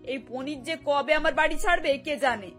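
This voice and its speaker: A woman's voice: high voice, normal speed, fairly bright tone. A woman voicing a sarcastic, fed-up older mother-in-law in a cartoon, grumbling as she talks. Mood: grumbling, resentful. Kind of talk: Bengali cartoon voice acting. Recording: music bed behind the voice.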